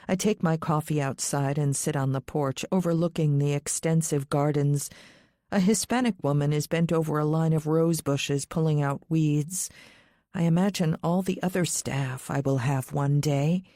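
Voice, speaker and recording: A woman's voice: deep voice, measured pace, dark tone, dry recording, clean studio sound. A woman reading a scripted first-person thriller audiobook as its narrator.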